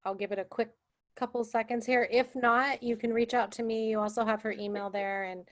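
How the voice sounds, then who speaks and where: A woman moderating a webinar over a video call in a brisk, informal way. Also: a little high, steady tone.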